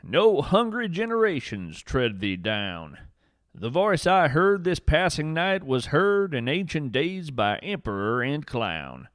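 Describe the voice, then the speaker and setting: A male podcast narrator telling scripted tales in a theatrical, solemn way. He speaks slowly and lets his pitch rise and fall widely, giving each line a poetic weight. The recording is close and dry. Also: big pitch swings, fairly bright tone, no echo. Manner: theatrical, poetic, solemn delivery of whimsical material.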